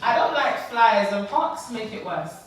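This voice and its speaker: A woman's voice: on the high side, animated. Young woman poet chatting on stage. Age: young adult. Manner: chatty and giggly between poems, slow and deliberate when reciting. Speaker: poet and performer. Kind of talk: casual stage chat, then poetry recital. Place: large indoor event hall with audience. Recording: echoey hall, distant mic, audience noise.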